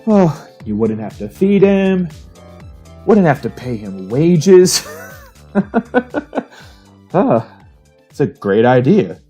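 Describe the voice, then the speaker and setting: An actor playing a dreamy, excitable workshop hand who talks eagerly and repeats himself, in a retro radio drama. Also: male voice, fairly high pitch, takes it slowly, pauses often, husky, highs cut off, soft background music, heavily acted. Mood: amused, eager. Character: dreamy, excitable male workshop hand fascinated by mechanical men.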